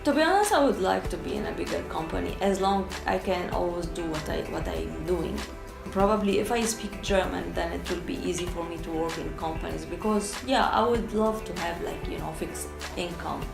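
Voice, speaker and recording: A woman's voice: on the low side, normal speed, gravelly, echoey room, over music. A Syrian woman with an Arabic accent talking candidly in a casual documentary interview, often hesitating as she searches for words in her second language. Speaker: documentary interviewee, freelance translator.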